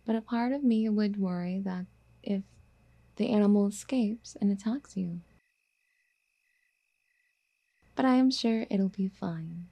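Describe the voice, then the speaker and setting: A woman playing a possessive, doting yandere girlfriend in a soft, intimate ASMR roleplay, speaking in a coaxing, whispery, sweetly affectionate way. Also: medium pitch, normal speed, vocal fry. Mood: protective, possessive.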